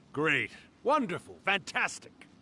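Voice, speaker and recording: An actor playing a wistful, affable old storyteller spirit in a video game, theatrical and a little hesitant. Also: male voice, a little high, pushed and bright, a little hiss or hum, heavily acted. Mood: delighted.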